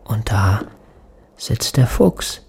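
Rain and thunder surround a haunted man who speaks extremely slowly. His hushed, dreamlike radio drama monologue comes in halting fragments, pitched on the low side. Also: big pitch swings, creaky, noisy background, heavily acted. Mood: haunted, entranced. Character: haunted man recalling a strange stormy night.

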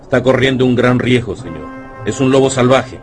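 A man's voice: medium pitch, moderate pace, soft background music, natural voice. A man playing a cautious, pessimistic assistant in a radio drama, deferential but full of warnings. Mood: worried warning. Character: cautious, pessimistic male assistant, respectful to his boss.

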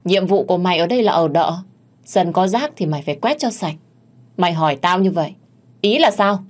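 A woman's voice: on the low side, expressive, brisk. Woman voicing a spiteful, sneering young woman rival. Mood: haughty. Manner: sneering, insulting.

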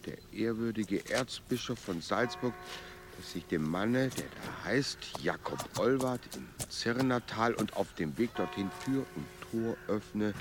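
A solemn official proclaims a medieval decree in a radio drama. The voice is lowish and ceremonious, with music clearly underneath. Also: male voice, animated, unhurried.

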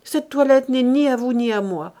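Woman acting a desperate, defiant young daughter, raised pitch. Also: normal speed, dark tone, lightly acted. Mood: pleading. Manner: emphatic, imploring, declamatory.

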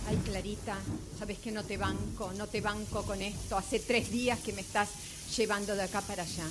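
Hissy noise sits behind a woman acting an indignant Argentine traveler in an amateur radio play. Her mid-pitched voice is fed up and complains insistently, circling back over each grievance. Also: level tone, moderate pace, close to an everyday voice. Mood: exasperated. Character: exasperated, hungry, freezing woman traveling companion. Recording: noisy recording with hissy ambience.

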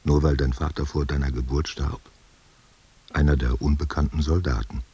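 An actor playing a gruff but tender, brooding old man in a literary radio play, reflective and patronising. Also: rumbling low, fairly even intonation, normal speed, dark tone, exaggerated voice. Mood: tender, chiding. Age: older. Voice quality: husky, gravelly.

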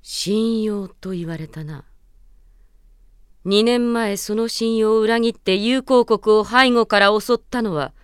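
Woman as a clear-voiced, commanding warrior princess. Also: medium pitch, slow, dry recording, studio quality, lightly acted. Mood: grave, firm. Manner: formal, commanding, resolute. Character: proud, stern young warrior princess and envoy. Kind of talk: radio drama acting.

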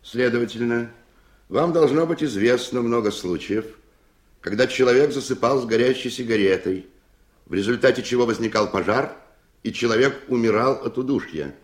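Actor as echoey, persistent defense lawyer. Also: male voice, mid-range voice, normal speed, close to an everyday voice. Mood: challenging. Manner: formal, leading questions. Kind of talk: radio play acting. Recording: echoey room.

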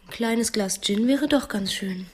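An unhappy, defiant wife in a radio play. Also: female voice, a little high, unhurried, husky. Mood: brittle, prickly. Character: Madeleine, unhappy drinking wife, defiant and imperious.

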